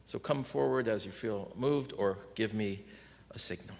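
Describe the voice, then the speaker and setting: A man leading a memorial service as minister, gently inviting people to share, with small restarts. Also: on the low side, moderate pace, gravelly, slight background noise. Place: church sanctuary. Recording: church with long reverb.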